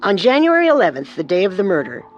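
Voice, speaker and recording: Higher-pitched male true-crime narrator. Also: huge pitch swings, fast, lo-fi sound, soft background music. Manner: storytelling, explanatory. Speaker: co-host narrator.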